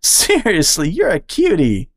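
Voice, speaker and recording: A woman voicing a bubbly, brash young female squirrel who blurts things out, with a squeaky excited voice. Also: a little slow, no echo, clean studio sound, full character voice. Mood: excitable. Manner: loud, excitable, high-pitched blurting.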